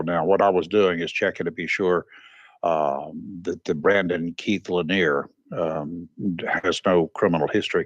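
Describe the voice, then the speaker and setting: A man's voice: sits low, dry recording, highs cut off. A man hosting a local radio talk show. He rambles folksily with frequent restarts and sounds congested. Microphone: studio microphone.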